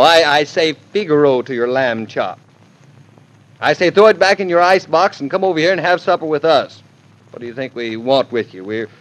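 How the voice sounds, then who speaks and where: A man acting as a young American husband from a 1940s radio play, with a mid-range voice. He is affectionate and excitable, given to mock-stern bluster and small stammers. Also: mid-range voice, natural voice, rough recording. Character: tender, excitable young husband and expectant father.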